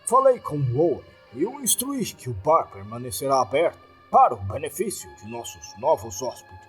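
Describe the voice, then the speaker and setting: A formal, authoritative hotel owner, played by a man in a horror audio tale. His Brazilian voice is husky and obliging, with lively pitch over background music. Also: normal speed, exaggerated voice. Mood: firm.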